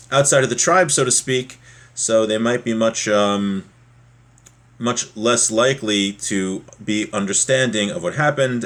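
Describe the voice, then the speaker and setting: A man speaks as a religious teacher in an informal lesson. His voice sits at an average male pitch, and he explains the text in a plain, conversational manner, adding asides of his own. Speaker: religious teacher giving a class.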